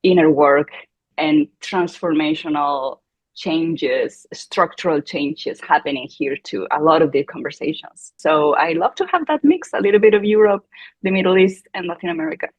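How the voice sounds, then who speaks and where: Chilean woman guest, husky lowish voice, kinda muffled dull sound. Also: moderate pace, dry recording. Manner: animated, quoting her own thoughts with gasps. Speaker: podcast guest. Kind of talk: conversational interview.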